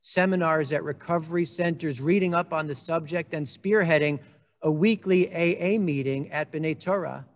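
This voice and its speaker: Rabbi guy in his 40s preaching into a desk mic, bit high voice, long echo on it. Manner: measured, earnest, with dry asides. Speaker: congregation rabbi. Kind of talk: sermon delivered to camera. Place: indoor room with a wood-panelled wall. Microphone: desk microphone on a stand.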